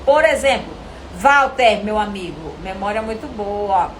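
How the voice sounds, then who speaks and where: A woman with a Brazilian Portuguese accent coaching actors in an informal live lesson, animated and didactic, often asking questions and answering them herself. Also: medium pitch, unhurried, a little hiss or hum, rough recording. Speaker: acting coach teaching a live online class.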